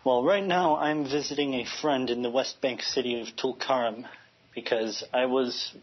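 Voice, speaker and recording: Earnest husky man from Chicago. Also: mid-range voice, steady tone, normal speed, muffled recording. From United States, Chicago. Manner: earnest, searching for words, frequent restarts. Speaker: interview guest. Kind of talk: earnest remote radio interview.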